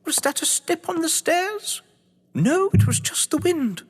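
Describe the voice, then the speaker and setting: A man puts on a silly old lady, timid and fretful, cooing to her cat. The voice is pitched very high and wavers up and down, with long hall reverb around it. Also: very animated, measured pace, heavily acted. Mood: fearful, cooing. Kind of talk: audio drama acting.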